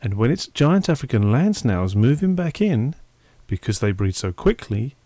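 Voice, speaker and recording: A man giving scripted narration for a public information film in a measured, informative way. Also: medium pitch, very animated, mellow, no room sound. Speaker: documentary narrator.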